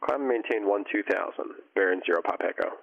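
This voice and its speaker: A man's voice: lowish voice, quick pace, vocal fry. A man as a pilot making clipped calls over an aircraft radio. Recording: dull, muffled aircraft radio transmission.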